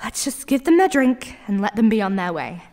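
A woman's voice: mid-range voice, sing-song, fairly quick, a little mellow, no echo, close to an everyday voice. A woman playing a clumsy, flustered young barista who stammers and apologizes in an audio roleplay. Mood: puzzled, self-talk. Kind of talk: ASMR roleplay acting.

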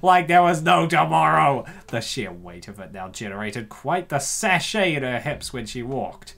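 A man narrates a comic with very animated pitch. He is theatrical and slangy, mixing scripted reading with casual riffing. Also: moderate pace, vocal fry. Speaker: narrator and commentator.

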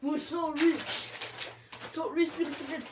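A woman's voice: sits high, fairly even intonation, a little slow, background noise. A young girl chanting and talking playfully while dancing and jumping around her bedroom, recorded on a phone on the floor. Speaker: performer of a homemade dance video. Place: bedroom at home.

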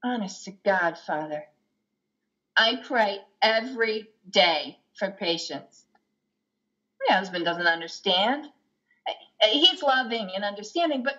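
A woman's voice: medium pitch, pushed and bright. Woman acting a flustered, guilt-ridden chatty mother, muffled sound. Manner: halting, rambling, confiding. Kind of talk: play reading on a video call. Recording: dull, lo-fi video call.

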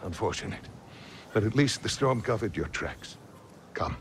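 An actor playing a kindly, scholarly old Jedi master in a video game, speaking in a courteous, measured, formal way. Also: male voice, lowish voice, expressive, creaky, a little hiss or hum. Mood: gentle, reflective. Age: older. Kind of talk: video game cutscene acting. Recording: music behind the voice.

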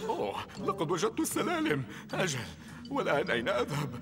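An actor dubbing a scheming, whiny grown-up villain in a children's show, theatrical and exaggerated. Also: male voice, high-pitched, huge pitch swings, fast, over loud music, full character voice. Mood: searching. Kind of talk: dubbed children's TV acting.

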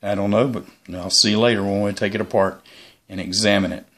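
A man with a fairly low voice presents equipment in a homemade vlog. He speaks off the cuff and casually, wandering from point to point with hesitant pauses. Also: steady tone, fairly bright tone. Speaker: YouTuber presenting his radio antennas. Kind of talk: casual unscripted product show-and-tell.

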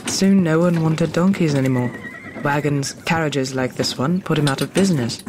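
A man's voice: a little high, moderate pace, lightly acted. An actor playing a polite, curious young man from the plains in a fantasy audio drama, with formal, earnest phrasing. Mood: calm, open. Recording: clearly noisy background of clicks and horse-like sounds.

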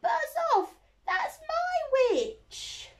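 A woman voicing a fierce storybook monster with a drawn-out shriek. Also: extremely high pitch, wildly swooping pitch, voice pushed up, heavily acted. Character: horrible four-headed beast, fierce and protective.

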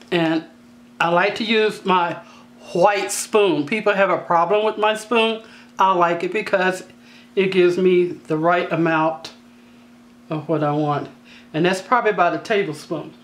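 A woman with a slightly raspy voice hosting a home cooking tutorial, talking in a chatty, down-to-earth way with joking asides. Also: on the low side, slight background noise. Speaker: cooking channel host. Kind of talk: casual cooking tutorial voice-over. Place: home kitchen.

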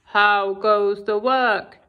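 Lazy old farmer bellowing from bed, slow and loud, as a lady reads it to kids. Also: fairly even intonation, smooth, lo-fi sound. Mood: demanding. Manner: slow, booming, demanding.

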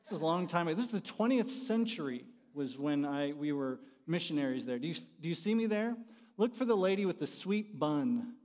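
A man's voice: fairly high pitch, animated, brisk, a little mellow. A middle-aged American man giving an informal, conversational teaching talk to an audience, often putting questions to them. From born in the United States, raised partly in Haiti. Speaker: university dean and teacher, conference speaker. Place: large church auditorium, speaking from the front by the stage. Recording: reverberant hall sound.

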